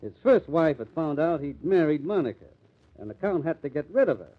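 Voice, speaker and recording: An actor playing a brisk, suspicious police detective in a 1950s radio mystery. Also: male voice, fairly high pitch, big pitch swings. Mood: reasoning. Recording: muffled old radio recording.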